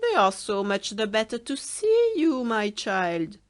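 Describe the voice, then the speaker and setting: A woman voicing a wicked, hungry male wolf in a storybook reading, speaking in a loud, sly, coaxing way. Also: a little high, sing-song, unhurried, pitched above the performer's own voice.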